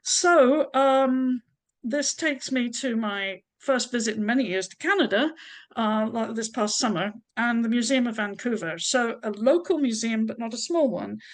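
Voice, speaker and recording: Woman, English accent, historian's talk, chatty, opinionated, fairly high voice. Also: a little slow. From Scotland. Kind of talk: informal talk.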